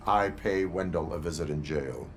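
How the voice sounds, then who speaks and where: An actor playing a stoic cyborg police officer in a video game, speaking in a deadpan, clipped, formal way. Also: male voice, low voice, moderate pace, slight background noise, close to an everyday voice. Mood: stoic, terse. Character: stoic cyborg police officer, adult male, terse and menacing.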